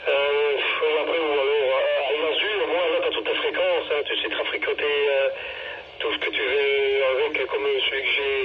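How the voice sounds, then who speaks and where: A man's voice: a little high, fairly even intonation, normal speed. A man with a Belgian French accent chatting casually and colloquially over a two-way radio. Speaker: amateur radio hobbyist. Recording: dull narrowband radio link with noise.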